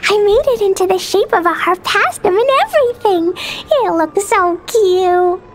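Very high, childlike little girl. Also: expressive, a little slow. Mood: proud, pleased. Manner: childlike, earnest, speaks of herself in third person. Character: sweet, guileless little girl with a love of bombs. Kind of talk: anime-style video game acting. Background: game music.

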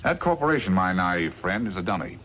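A smug western villain, a crooked lawyer with a lowish, highly expressive voice. He is calculating and emphatic, in the manner of 1950s radio drama, with light noise from the old recording. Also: a little fast. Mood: smug scheming.

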